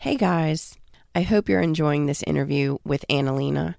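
A woman's voice: low voice, normal speed, gravelly, a little mellow, dry recording, studio quality. A woman hosting a podcast, recording an upbeat message to listeners while stuffy and nasal from a cold. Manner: upbeat direct address to listeners. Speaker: podcast host. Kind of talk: recorded host outro.

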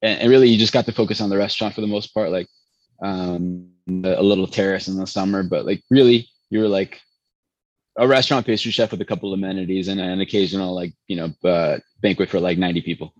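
A man's voice: low-pitched, steady tone, fast, dry recording, dull audio. A man who spoke Spanish first, a pastry chef telling long rambling stories full of restarts in an interview. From born in Chicago, raised in Venezuela. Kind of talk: casual interview storytelling.

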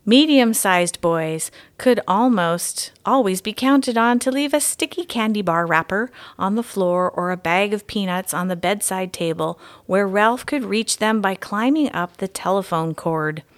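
A woman with a medium-pitched voice reads aloud for children. She is a guest reader, and her delivery is that of a storybook audiobook. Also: unhurried, hardly any pauses.

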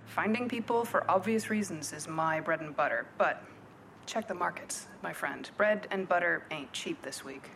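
An actress playing a cool, confident female private investigator in a noir radio play, deadpan and wisecracking. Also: on the low side, moderate pace, vocal fry, a little hiss or hum. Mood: cool, businesslike. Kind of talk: noir radio play acting, narration and dialogue.

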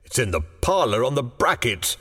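A man's voice: mid-range voice, very animated, moderate pace, pushed and bright, breathy edge, long reverb tail, voice pushed up, full character voice. A man voicing a weary, frightened old husband in a story reading, unsteady and faltering. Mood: alarmed, bewildered. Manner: unsteady, faltering, stammering when alarmed. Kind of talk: dramatic audiobook character reading.

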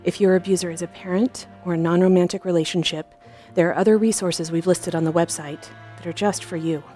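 Female host for a scripted support message, measured and earnest, warm dark tone, light music bed. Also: lowish voice, steady tone. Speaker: podcast host.

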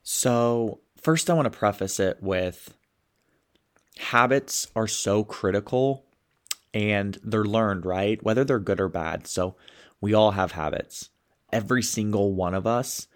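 A young man hosts his own motivational podcast, sounding stuffed up and a bit sniffly from a cold. His voice sits on the low side, and he talks with eager, upbeat energy as he coaches listeners on good habits. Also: takes it slowly. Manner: upbeat, enthusiastic. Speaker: podcast host and sales coach.

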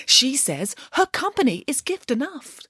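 Woman as a high-pitched, earnest little piglet. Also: big pitch swings, a little slow, bright, forceful tone, no room sound, studio quality, heavily acted. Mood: doubtful. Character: clever, wary little piglets, children.

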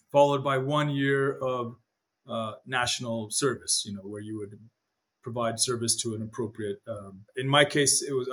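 A man in his forties from East Africa, born in Ethiopia and raised in Kenya, whose first language is Amharic, giving measured, reflective answers as an interview guest. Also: medium pitch, a bit bright. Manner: measured, reflective, with frequent restarts.

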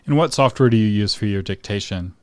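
A man's voice: lowish voice, quick pace, no echo, clean studio sound. A man hosting an interview, explaining points emphatically and laughing easily. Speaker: interviewer host. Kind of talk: interview with coaching asides.

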